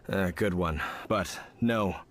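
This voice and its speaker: A man playing an earnest, dutiful knight in a video game, speaking formally, with rumbling noise behind. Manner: formal, measured explaining.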